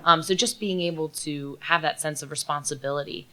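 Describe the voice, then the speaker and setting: Young woman, student panelist, very low voice, quick, lots of ums. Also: steady tone, pushed and bright, poor audio. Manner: quick, filler-heavy. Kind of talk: panel talk.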